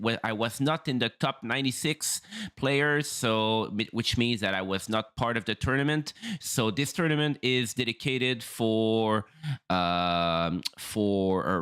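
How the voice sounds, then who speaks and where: Halting man streaming. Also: medium pitch, fairly bright tone, clear, resonant tone. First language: French. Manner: halting, many fillers and restarts. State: throat still rough after flu. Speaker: streamer and first-time race commentator. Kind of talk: casual livestream talk.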